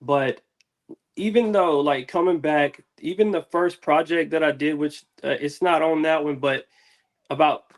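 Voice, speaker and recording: A man's voice: a little high, measured pace. A man, a musician guest, talking casually and reflectively in slangy, candid, rambling interview answers.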